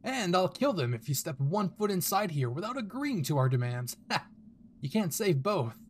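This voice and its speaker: Man voicing anime villain goon, gloating, cruel, mocking, theatrical, raised swooping pitch. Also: very animated, moderate pace, slight background noise. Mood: threatening. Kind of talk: anime fan audio drama.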